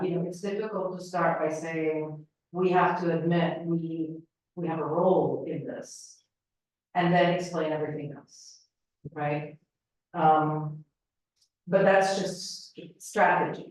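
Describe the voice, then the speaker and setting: Measured Palestinian woman in an echoey hall. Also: deep voice. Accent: Palestinian Arabic, Syrian-leaning. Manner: thoughtful, measured, many fillers. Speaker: panel speaker, political analyst. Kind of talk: panel discussion answer. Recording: roomy hall, voice from far off.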